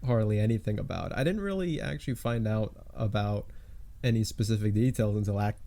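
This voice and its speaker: A man's voice: lowish voice, brisk, gravelly, dark tone. A man co-hosting a podcast, chatting casually and unscripted with his partner. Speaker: podcast co-host.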